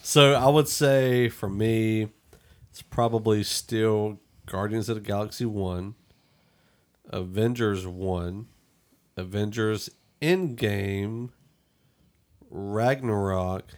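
Man, lower-pitched voice, podcast host, conversational, opinionated, casual film talk. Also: darkish tone.

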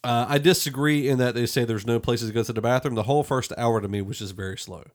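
A man's voice: medium pitch, fast. Man chatting as a podcast host. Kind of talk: casual movie review chat.

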